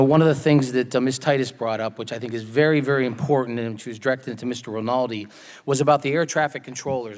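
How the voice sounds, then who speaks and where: An American man chairing a legislative hearing, speaking off the cuff and stressing his points emphatically. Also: on the high side. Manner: emphatic, repeats words for stress. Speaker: committee chairman. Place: large indoor hearing room. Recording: reverberant hearing room.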